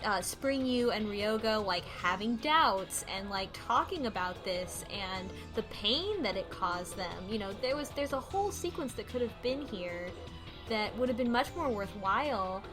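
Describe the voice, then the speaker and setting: A woman's voice: medium pitch, lively intonation, unhurried. A woman talking casually in a group discussion, in an earnest, analytical way. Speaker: book club podcast panelist. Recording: music playing behind the voice.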